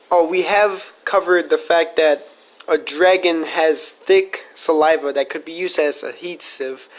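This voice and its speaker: Higher-voiced man hosting a casual podcast. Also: poor audio. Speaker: podcast host. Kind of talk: casual question-and-answer discussion.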